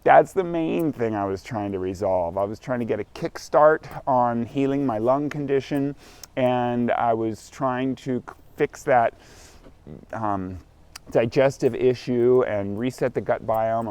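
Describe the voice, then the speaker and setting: A man's voice: mid-range voice, darkish tone. A man in his forties, vlogging outdoors in morning sunshine, talking candidly in a rambling, self-correcting way. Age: 40s. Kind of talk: casual self-recorded vlog.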